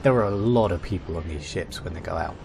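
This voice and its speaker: Low-voiced man narrating a gaming guide. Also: fast talker, creaky, background noise. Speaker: gaming video host. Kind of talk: casual game guide commentary.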